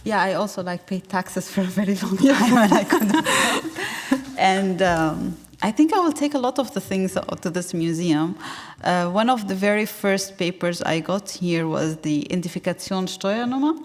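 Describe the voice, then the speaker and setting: A woman from Syria speaking on a discussion panel in a large hall, animated, laughing often and searching for words. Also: mid-range voice, normal speed.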